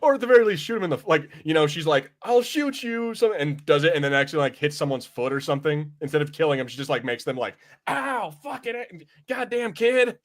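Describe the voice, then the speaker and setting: A man's voice: fairly high pitch, lively intonation, brisk. A man hosting a casual review podcast, rambling informally and swearing freely as he chats.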